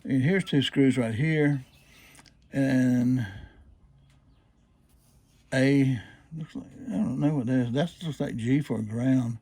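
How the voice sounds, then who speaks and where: Husky man giving a casual hands-on demonstration. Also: mid-range voice, mellow. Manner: plain-spoken, halting hands-on explaining. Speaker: presenter showing a restored old radio.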